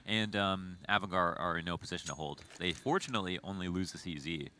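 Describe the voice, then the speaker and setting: Fast, bright low-voiced match commentator. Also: male voice, a little hiss or hum. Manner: fast, analytical play-by-play. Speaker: esports commentator.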